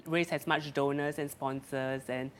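A man's voice: mid-range voice, little pitch movement, unhurried, slight background noise. A young man from Singapore, a guest chatting casually and laughing as he talks, with many restarts and fillers. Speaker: guest, social media creator. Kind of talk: casual chat.

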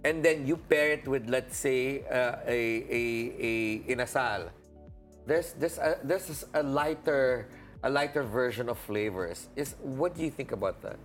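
A man co-hosting a casual interview, lively and often pausing to search for the right word. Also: mid-range voice, soft background music. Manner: animated, searching for words. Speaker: co-host.

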